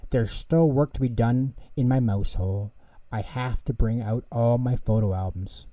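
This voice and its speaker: A man voicing an anxious, excitable little male mouse in a storybook, with a fretful, exclaiming manner, in an echoey room. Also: mid-range voice, moderate pace, close to an everyday voice. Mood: busy. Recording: echoey room, distant mic.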